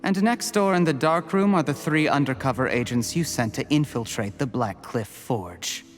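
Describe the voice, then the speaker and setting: An actor playing a scheming male official who calmly gloats over his victim, with a menacing, measured delivery. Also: mid-range voice, faint music behind, close to an everyday voice. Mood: gloating. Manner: calm, menacing, drops to a hushed whisper. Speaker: game character.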